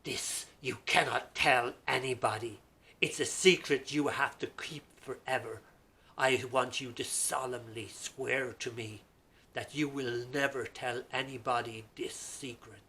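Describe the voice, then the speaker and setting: A man's voice: medium pitch, monotone, normal speed, hardly any pauses, a bit bright, a little hiss or hum, lightly acted. A man voicing a stern king who orders a barber to swear to keep his secret. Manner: stern, commanding.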